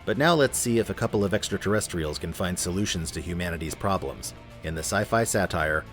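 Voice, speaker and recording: Deep-voiced man hosting, scripted introduction. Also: brisk, a bit bright. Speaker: host. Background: faint music.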